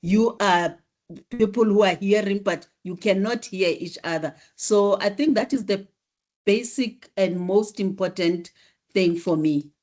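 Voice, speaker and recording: Female student, unhurried delivery, soft dark tone, polite and measured. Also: mid-range voice. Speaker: university student. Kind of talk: class discussion contribution.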